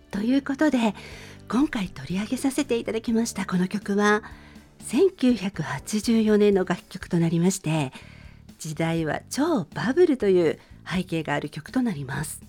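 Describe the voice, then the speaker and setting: A woman's voice: medium pitch, lively intonation, normal speed, darkish tone, breathy edge. A middle-aged woman hosting a radio show, introducing a song in a polite, conversational monologue addressed to listeners. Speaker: radio show host and presenter. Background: music.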